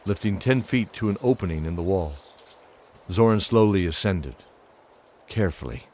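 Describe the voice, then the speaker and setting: A man narrating a scripted fantasy audio drama with dramatic, measured storytelling. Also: low voice, a little mellow, a little hiss or hum.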